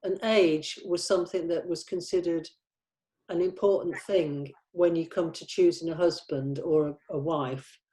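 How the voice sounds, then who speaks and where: A woman scriptwriter casually discussing a script, halting and searching for her words as she explains it. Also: on the low side, steady tone, dark tone.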